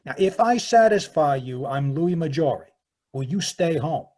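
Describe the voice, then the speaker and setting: A man playing a curt, menacing mystery caller in 1940s radio crime drama, terse, guarded and husky, over a video call. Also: a little high, lively intonation, measured pace, darkish tone. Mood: guarded, businesslike. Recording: live video call performance.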